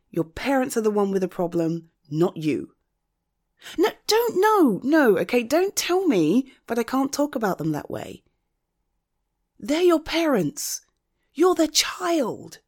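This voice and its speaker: A woman acting as a loyal, protective friend in an intimate audio roleplay, speaking earnestly and emotionally with halting, emphatic phrasing. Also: on the low side, warm tone. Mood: indignant, protective.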